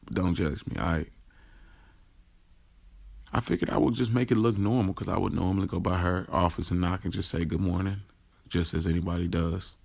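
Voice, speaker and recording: A man's voice: low voice, fairly even intonation, moderate pace, gravelly, long pauses, darkish tone, dry recording. An American man playing a young, streetwise rideshare driver who tells his story in a slangy, hesitant, confessional audio drama narration. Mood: confiding, hesitant. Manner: conversational, slangy, hesitant self-corrections. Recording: music behind the voice. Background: music.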